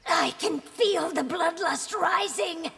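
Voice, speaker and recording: A resolute game empress with a high voice and some rasp at the edges. Each line is slow, regal and commanding, ringing in a long reverb. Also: female voice, full character voice. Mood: stern, resolute. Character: proud, resolute empress hiding a feral affliction. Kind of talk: video game character acting. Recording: long hall reverb, music behind.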